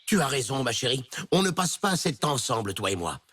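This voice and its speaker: A flustered inventor dad. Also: male voice, medium pitch, big pitch swings, quick pace, fairly bright tone. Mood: fond. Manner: hesitant, full of ums and stammers. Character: flustered inventor father, shy and awkward. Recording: cartoon dub with music behind.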